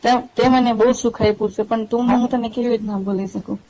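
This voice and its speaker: A woman's voice: a little high, steady tone, a little fast, mellow. A woman playing an anxious middle-aged married woman pleading with her young lover, hesitant and repetitive, in a staged phone-call drama. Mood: pleading, reassuring. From India. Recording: dull lo-fi phone call recording.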